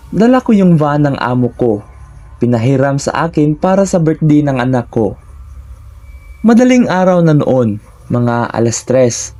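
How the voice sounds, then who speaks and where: A man with a Filipino accent narrates a scripted first-person horror story in short, deliberate, suspenseful phrases. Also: mid-range voice, mellow.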